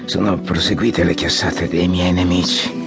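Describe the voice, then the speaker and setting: An actor playing an ailing, proud old exiled general, imperious and theatrical, in an audiobook drama. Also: male voice, low-pitched, little pitch movement, normal speed, gravelly. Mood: weary, bitter. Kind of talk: audiobook radio drama acting. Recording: guitar music under the voice.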